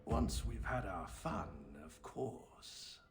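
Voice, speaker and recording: An actor playing a gloating, menacing military captain with a sinister drawl in a video game. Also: male voice, lowish voice, expressive, takes it slowly, over music. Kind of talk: video game cutscene acting.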